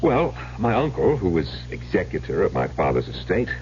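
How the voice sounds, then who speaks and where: Educated, burdened middle-aged husband, deep voice with big dramatic swings, dull vintage radio sound. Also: male voice, huge pitch swings, a little mellow. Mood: confessional. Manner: measured, confessional, theatrical. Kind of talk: old-time radio drama acting. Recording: dull, noisy old radio recording.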